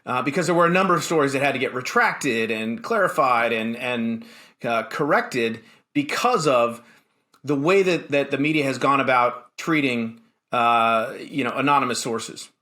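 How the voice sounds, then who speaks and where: An American man hosting a political talk show, giving conversational commentary in a halting, emphatic way that often repeats words. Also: mid-range voice, sharp tone.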